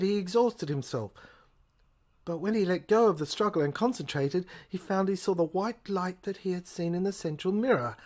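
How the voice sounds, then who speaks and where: A woman with a fairly low voice reads literary prose as a dramatic narrator. Her delivery is theatrical and halting, with words repeated deliberately for emphasis. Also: darkish tone. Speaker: narrator and reader of an audio play. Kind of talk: dramatic literary narration, reading all parts.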